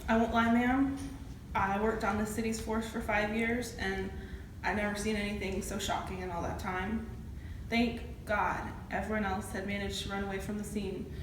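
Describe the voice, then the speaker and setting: A woman's voice: medium pitch, steady tone, normal speed, natural voice. A woman acting a shaken, plain-spoken campus safety officer testifying in an amateur courtroom drama. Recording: echoey, distant room with clear noise.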